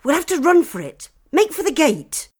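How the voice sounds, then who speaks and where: A storyteller voicing a brisk, kindly, proper aunt in a children's storybook, with an exclamatory, old-fashioned manner. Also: sits high, very animated, voice pushed up. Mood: brisk urgency.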